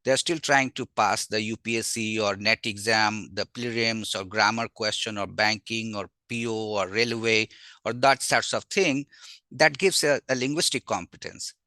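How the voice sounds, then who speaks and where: A man with an Indian accent and Magahi as his native language gives an informal academic lecture. His voice is bright and forceful, captured dry with hardly any room sound. He teaches in an animated way, putting questions to his listeners and answering them himself. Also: fairly low pitch, moderate pace, no echo. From Bihar, India. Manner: animated, asks and answers own rhetorical questions. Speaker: university language teacher, invited webinar speaker.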